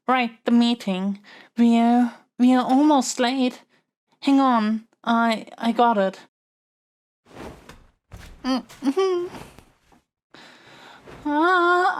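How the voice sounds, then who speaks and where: A woman playing a lovestruck young princess in a romantic fantasy audio roleplay, speaking in a flustered, stammering, earnest way. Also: high voice. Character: lovestruck young princess smitten with her dragon kidnapper.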